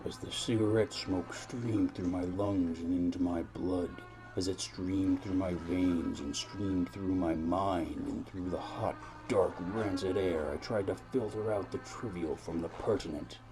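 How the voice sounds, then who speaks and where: A man's voice: low voice, fairly even intonation, unhurried, few pauses, music in the background, rough recording. A man playing a world-weary, brooding private detective in a noir audio drama, narrating in florid, rambling, repetitive phrases. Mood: anguished, musing.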